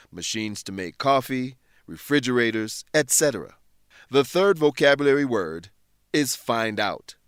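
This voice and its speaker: A man's voice: mid-range voice, no room sound, clean studio sound. A man reading scripted educational narration as a narrator and English teacher, explaining in a structured way.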